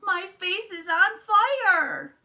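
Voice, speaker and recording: A woman voicing an excitable, stubborn young boy frog from a storybook, given to hollering and whiny protests. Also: very high-pitched, drawn-out words, vocal fry, voice pushed up, exaggerated voice. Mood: loud, alarmed.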